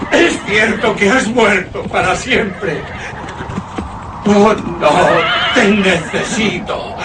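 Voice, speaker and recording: Anguished widower, high-pitched and very slow, radio horror drama, pleading for a lost love. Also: male voice. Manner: anguished, drawn-out theatrical calling. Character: grief-stricken widower crying out for his dead beloved. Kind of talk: Spanish-language radio horror drama acting. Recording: distant, echoing, noisy with voices behind.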